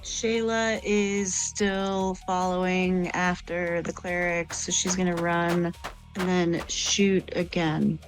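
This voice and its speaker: A woman's voice: fairly low pitch, level tone, slow, poor audio. A woman playing a tabletop fantasy game, casually calling out her character's actions and rolls. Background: music.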